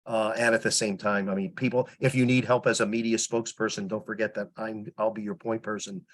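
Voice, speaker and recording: Male volunteer PR lead, fairly low voice, informal online meeting report, meandering and halting delivery. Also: flat delivery. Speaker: public relations volunteer. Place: teleconference call.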